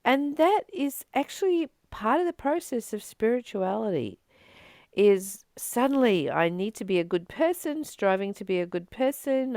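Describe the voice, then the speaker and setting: A woman speaks as a spiritual teacher, slowly and with a very lively, swooping pitch. She is earnest and emphatic, leaving deliberate pauses between phrases. Her voice has some gravelly creak as she moves between reading and informal commentary. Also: no echo, studio quality.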